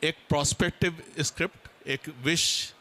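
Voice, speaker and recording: A man's voice: fairly high pitch, steady tone, moderate pace, sharp tone. A man giving an explanatory talk as a panelist at a seminar, checking that listeners follow.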